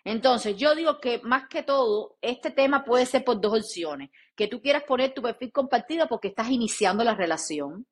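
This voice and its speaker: A woman's voice: fairly high pitch, lively intonation, moderate pace, hardly any pauses, husky. A woman with a Cuban accent co-hosting a casual chat, talking emphatically and addressing listeners directly. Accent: Cuban Spanish. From Cuba. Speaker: podcast co-host.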